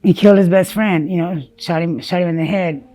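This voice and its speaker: A woman from South Dakota, whose first language was Spanish, telling her story haltingly in an interview, full of fillers and restarts. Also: fairly low pitch, steady tone, warm tone. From South Dakota, USA. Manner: halting, many fillers and restarts, blunt about grim details.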